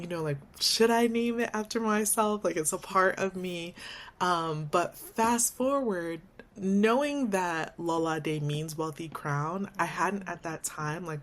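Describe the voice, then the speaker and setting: Low-voiced woman, candid interview guest. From Bronx, New York. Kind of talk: casual interview chat.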